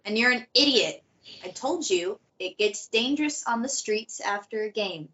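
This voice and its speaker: This is a woman voicing a protective young woman, earnest and insistent. Her voice is bright and pitched a little high. The recording is muffled. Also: animated, normal speed.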